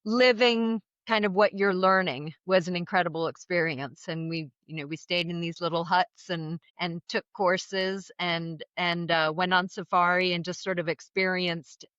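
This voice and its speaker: A woman's voice: on the low side, steady tone, normal speed, few pauses, a bit bright, clear, resonant tone, no echo, highs cut off. A woman who grew up in Switzerland and Vermont, a wildlife biologist guest talking earnestly and at length about her path, over a remote call. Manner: earnest, articulate, recounts at length. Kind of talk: reflective storytelling in conversation.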